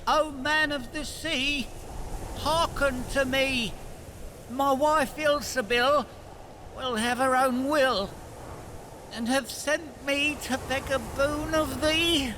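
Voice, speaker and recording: Meek, high-voiced fisherman. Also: steady tone, takes it slowly, noisy background. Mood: pleading, calling out. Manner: hesitant, pleading, sighing. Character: meek, reluctant, henpecked fisherman husband. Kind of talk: full-cast fairy tale audio drama.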